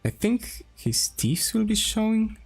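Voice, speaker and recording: A man from Poland with a Polish accent, talking casually as he draws on a stream, slowly thinking aloud with pauses and restarts. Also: sits high, very animated, breathy edge, soft background music. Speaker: streamer and game developer. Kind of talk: casual drawing stream talk.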